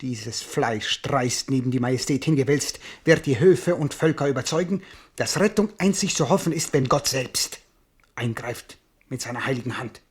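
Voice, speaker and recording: An actor in a German radio drama playing a solemn, fervent man with a husky voice invoking God. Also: medium pitch, normal speed, natural voice. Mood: fervent warning.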